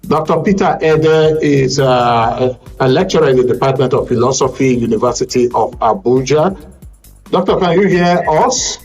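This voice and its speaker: A man's voice: mid-range voice, moderate pace, darkish tone, faint music behind. A man with a Nigerian accent hosting a live current affairs broadcast and putting questions to guests. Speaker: host of a current affairs panel programme.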